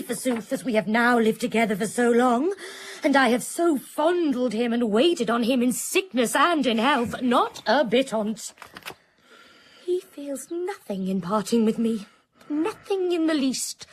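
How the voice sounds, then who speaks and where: A proud, indignant eighteenth-century lady in a radio play. Her voice sits fairly high and swings widely in pitch, with faint noise behind it. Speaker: actor in a radio drama.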